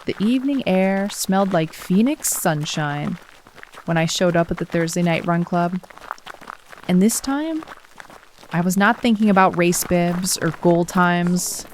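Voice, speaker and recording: A woman's voice: on the low side, expressive, measured pace, slight background noise. A woman playing an earnest, reflective runner who narrates her own story in an audio drama. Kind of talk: first-person story narration with acted dialogue.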